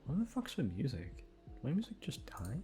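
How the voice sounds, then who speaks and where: A man's voice: mid-range voice, wildly swooping pitch, normal speed, mellow. A young man chatting casually to his listeners in an informal, rambling, sweary way. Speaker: audio channel host. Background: guitar music.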